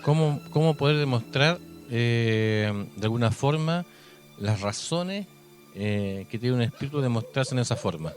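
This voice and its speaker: A Chilean man co-hosting a conversational paranormal radio show, speaking in a reflective, halting way with repeated phrases. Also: medium pitch, lively intonation. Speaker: radio co-host. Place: radio studio. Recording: music bed under studio talk. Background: music.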